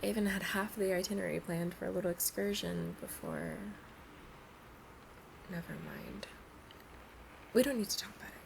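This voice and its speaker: A woman's voice: on the low side, gravelly, a bit bright, slight background noise, heavily acted, low-quality recording. A woman playing a playful, pleading young alien princess at college in an ASMR roleplay, chatting and coaxing the listener directly. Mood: apologetic, earnest.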